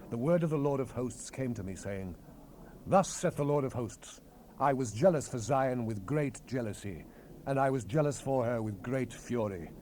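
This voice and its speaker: A man's voice: mid-range voice, mellow, natural voice. Man voicing a solemn, wide-ranging prophet on hissy tape. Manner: grave, declamatory, emphatic phrasing. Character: solemn, commanding male Hebrew prophet relaying God's word. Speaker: scripture narrator. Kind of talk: dramatized Bible reading. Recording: hissy unprocessed cassette tape rip.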